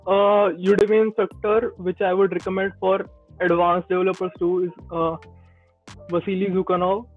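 Young indian guy, high pitched, casual dev advice on a tinny call. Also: level tone, moderate pace. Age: 20s. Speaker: podcast guest, Android developer. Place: small indoor room. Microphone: earbuds on a video call. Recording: tinny phone-like call audio, faint music.

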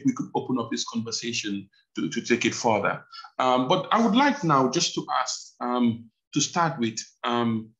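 A man's voice: mid-range voice, fairly bright tone, dull audio. A man moderating an online panel discussion over a video call, hesitant with many fillers.

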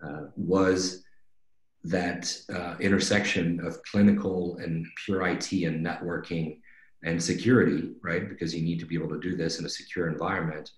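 Deep-voiced man in an echoey room. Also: flat delivery, normal speed. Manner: explanatory, often checks with "right?". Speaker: company executive presenting a webinar. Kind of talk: conversational webinar presentation. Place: indoors on a video call. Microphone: video call microphone. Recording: roomy, echoing video-call audio.

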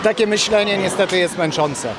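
A man in his forties, a team psychologist, calmly explaining in an interview at a bowling alley, into a handheld mic. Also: sits high. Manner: calm, measured explanations. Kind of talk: on-camera interview. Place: noisy bowling alley indoors. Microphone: handheld reporter mic. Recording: noisy venue background.

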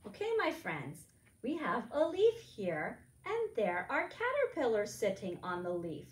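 Female preschool storytime presenter, middle-aged, high sing-song voice, encouraging, recorded in an echoey room. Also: wildly swooping pitch, a little slow, a little hiss or hum. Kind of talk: preschool counting lesson, child-directed. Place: indoors, echoey room beside a board. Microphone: camera a few feet away. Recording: echoey room, distant microphone.

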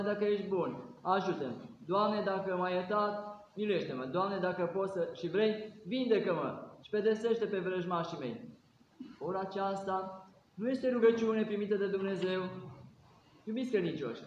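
High-voiced Romanian priest preaching in echoing church. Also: male voice, a little mellow, slight background noise. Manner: exhorting, rhetorical questions, earnest preaching cadence. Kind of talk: sermon. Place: large ornate church, indoors. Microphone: no mic, camera a few steps away. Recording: very echoey church, dull sound.

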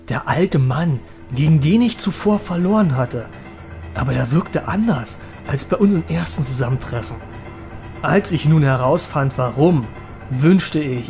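A man's voice: fairly high pitch, darkish tone. Man playing a haunted young trainer, music behind. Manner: dramatic, anguished. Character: haunted, desperate young male monster trainer. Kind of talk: first-person horror audio drama narration.

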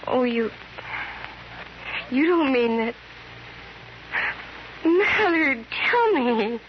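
A woman's voice: high-pitched, animated, extremely slow, exaggerated voice. An actress playing a witty, smitten female private detective in a 1950s radio drama, with flustered, exclamatory delivery. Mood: delighted, hesitant. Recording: muffled, noisy old radio recording.

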